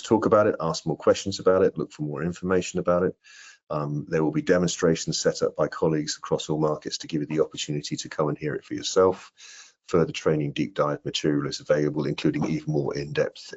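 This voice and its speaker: Male product presenter, middle-aged, extremely deep and husky, slightly lo-fi video call sound. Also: darkish tone. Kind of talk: product training presentation with question and answer. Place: indoor room on a video call. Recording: dull, lo-fi video call audio.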